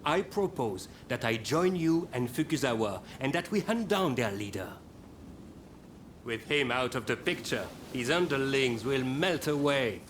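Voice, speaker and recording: Firm French military officer. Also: male voice, expressive, measured pace, fairly bright tone. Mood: determined. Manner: formal, firm. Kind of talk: video game cutscene acting. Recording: clear rumbling background noise.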